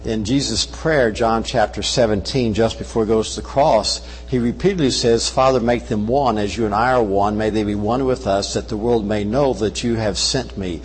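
Folksy older Kentucky-accented preacher. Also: male voice, on the low side, moderate pace, hardly any pauses, big-room sound, slight background noise, low-quality recording. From Brazil. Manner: folksy, joking asides. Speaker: guest missionary preacher. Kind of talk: sermon. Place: church service. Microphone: wireless mic.

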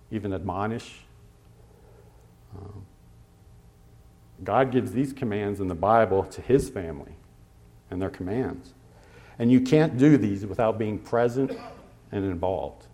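Male pastor, lower voice, conversational sermon delivery with fillers, lands each scripture reference slowly. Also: warm tone. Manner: slows to stress scripture references.

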